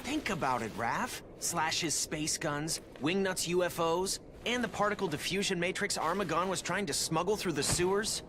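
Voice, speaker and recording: A brainy teenage turtle inventor, earnestly explaining gadgets. His voice sits a little high and moves lively. Game music plays under him. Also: normal speed.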